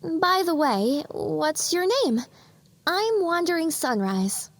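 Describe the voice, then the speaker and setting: A woman's voice: extremely high pitch, sing-song, slow, no room sound, voice pushed up, full character voice. A woman voicing a cute, naive young pony in an audio drama, with an earnest, flustered manner. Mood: sweet, hopeful. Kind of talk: audio drama character acting.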